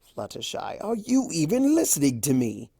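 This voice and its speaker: A performer voicing a male chaos creature, a smug and theatrical trickster who teases in drawn-out phrases, for a story reading. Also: mid-range voice, huge pitch swings, gravelly, warm tone, husky, no echo, clean studio sound, voice pushed up, exaggerated voice. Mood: teasing, knowing. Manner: drawn-out, theatrical, teasing delivery.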